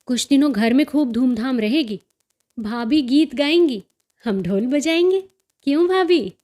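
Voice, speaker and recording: A woman's voice: high voice, animated, measured pace, darkish tone. A woman voicing a cheerful, teasing young sister-in-law in a story, with melodious, coaxing banter. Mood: playful coaxing.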